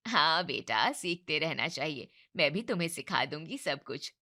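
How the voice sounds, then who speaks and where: A woman's voice: low voice, big pitch swings, normal speed, sharp tone, voice pushed down, heavily acted. A woman doing a nitpicking mother-in-law. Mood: critical. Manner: critical, complaining. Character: fussy nitpicking middle-aged mother-in-law.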